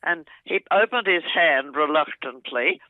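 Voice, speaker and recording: An older Australian woman chatting and telling a rambling family story on the phone, laughing often and talking loudly. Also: low voice, animated, measured pace, dry recording. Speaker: aunt recalling family stories on a phone call. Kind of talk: casual phone chat, storytelling. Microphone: telephone. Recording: tinny phone line, dry.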